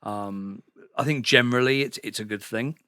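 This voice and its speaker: A man's voice: mid-range voice, normal speed, sharp tone, clean tone, no room sound, studio quality. A man from the UK, a podcast guest in a sauna house, chatting casually and rambling with many fillers and restarts. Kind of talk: casual chat.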